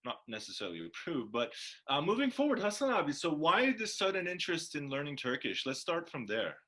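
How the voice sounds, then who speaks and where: A man with a bright, forward voice co-hosts a language lesson as its teacher. He explains in a measured, semi-formal way, often halting as he goes. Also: mid-range voice, very animated. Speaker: co-host and Turkish teacher.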